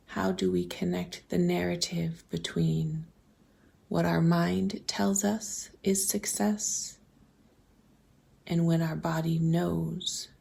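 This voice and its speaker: Slow, low, creaky woman guiding meditation. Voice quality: soft, breathy, often slipping toward a whisper. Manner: gentle, deliberate, posing open questions with pauses. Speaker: guided visualization host. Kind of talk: slow guided meditation, reflective questions.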